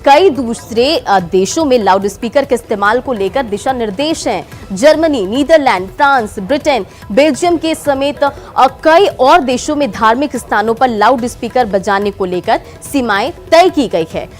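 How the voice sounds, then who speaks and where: A woman anchors a television news segment in a distinctly high voice. She reads scripted explanations as a presenter does, over a faint music bed. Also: animated, moderate pace, few pauses. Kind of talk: scripted news narration with live anchor hand-off.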